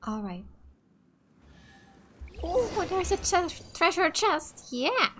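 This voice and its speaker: A woman from Finland, a native Finnish speaker, giving casual let's play commentary, thinking and reacting out loud as she plays. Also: extremely high pitch, sing-song, slow pace, background noise. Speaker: gaming video host. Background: game music.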